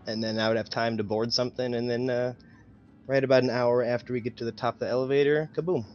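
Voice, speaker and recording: A man chatting casually as a tabletop game player, recapping his goals in a deadpan, clipped way. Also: mid-range voice, fast, lo-fi sound, slight background noise.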